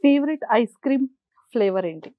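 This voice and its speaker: A woman's voice: high-pitched, fairly quick, no echo, narrow, tinny audio. A woman with a Telugu Indian accent hosting a casual interview and putting questions to her guest. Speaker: interview host.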